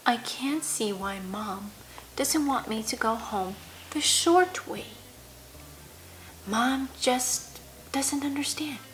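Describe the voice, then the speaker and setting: A woman's voice: fairly high pitch, expressive, slow pace, long pauses, noisy background. A woman voicing a stubborn, pouty little schoolgirl arguing her way through a children's bedtime story. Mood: sulky, muttering. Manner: pouting, reasoning back stubbornly.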